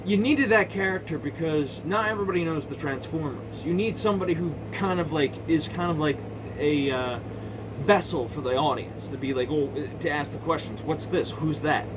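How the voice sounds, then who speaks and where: A man's voice: on the high side, normal speed, background noise. A man giving casual, rambling movie commentary in an opinionated, sweary and emphatic way. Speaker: amateur movie reviewer.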